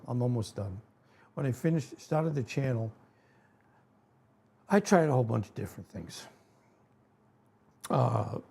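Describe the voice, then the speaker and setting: Older Rhode Island man in his 70s, folksy rambling host, slow, gravelly and mellow. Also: mid-range voice. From New England. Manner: rambling, folksy, frequent fillers and self-corrections. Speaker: channel host. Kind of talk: casual educational talk to camera.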